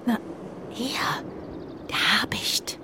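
An actress playing a proud but shaken young homing pigeon in a children's radio play, earnest and hesitant, often dropping into a hush. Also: female voice, extremely slow, in a whisper. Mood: frightened, grieving.

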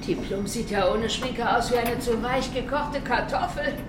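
A woman's voice: a little high, normal speed, heavy background noise, close to an everyday voice. A woman playing a loving, plain-spoken grandmother in a children's radio play, emotional and drily mocking. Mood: cheeky.

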